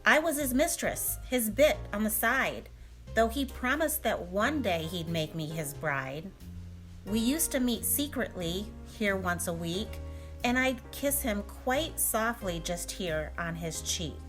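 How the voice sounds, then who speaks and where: An actress playing a dramatic, lovelorn mistress who speaks in pointed, theatrical rhyme in a comic audio drama. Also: female voice, medium pitch, expressive, takes it slowly, fairly bright tone, faint music behind. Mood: wistful, mournful.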